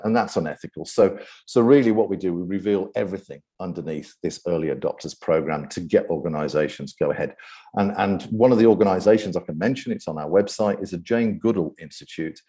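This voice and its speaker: A man's voice: sits low, warm tone. A man with a British accent giving an unscripted presentation as event host, often hesitating and repeating words before going on. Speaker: event host presenting his AI ethics program.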